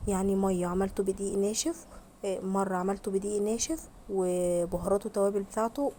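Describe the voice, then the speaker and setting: Hoarse Egyptian woman, fast chatty voice-over. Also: medium pitch, warm tone, slight background noise. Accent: Egyptian Arabic. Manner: chatty, addresses viewers directly with frequent blessings. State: hoarse from a cold. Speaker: home cooking vlogger. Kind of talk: casual voice-over vlog.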